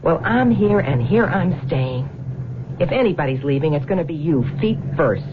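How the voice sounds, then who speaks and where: An actress playing a brash, taunting, venal younger wife with slangy speech in a radio drama. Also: female voice, deep voice, lively intonation, moderate pace. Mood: menacing, taunting. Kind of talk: 1970s radio drama acting. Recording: dull, noisy old radio recording.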